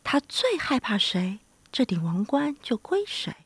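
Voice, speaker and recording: A woman voicing a boastful, bossy male lion in a children's story, loud, commanding and showy. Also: fairly high pitch, sing-song, a little slow, slightly rough, dry recording, exaggerated voice. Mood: scheming.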